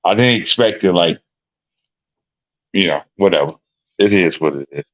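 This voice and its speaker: Deep-voiced laid-back man on a lo-fi call. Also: expressive, normal speed. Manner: laid-back, repeats phrases. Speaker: livestream guest. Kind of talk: casual livestream chat. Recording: dull lo-fi call audio.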